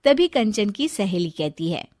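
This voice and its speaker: A woman's voice: mid-range voice, sing-song, unhurried, darkish tone, no echo. A woman narrating a scripted moral story.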